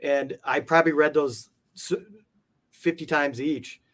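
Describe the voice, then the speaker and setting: A man's voice: on the high side, steady tone, measured pace, a bit bright. A man being interviewed, telling stories in a casual, meandering way with plenty of informal filler words. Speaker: interview guest, comic art collector. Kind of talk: casual conversational storytelling.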